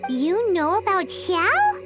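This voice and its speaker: A woman voicing a tiny, cheeky, childlike girl fairy in a video game, with a squeaky, cutesy voice. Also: wildly swooping pitch, slow, over music, exaggerated voice. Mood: curious, dry. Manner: cutesy, refers to herself in third person.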